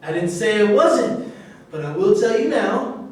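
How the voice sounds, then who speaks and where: A man's voice: high voice, animated, measured pace. A man playing a defensive, disgraced football star turned actor in a staged reading. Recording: echoey room, far mic, faint noise.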